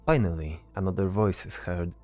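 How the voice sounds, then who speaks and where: A man's voice: low voice, moderate pace, a little mellow, faint music behind. A man narrating a scripted fantasy story as the narrator of an audio drama.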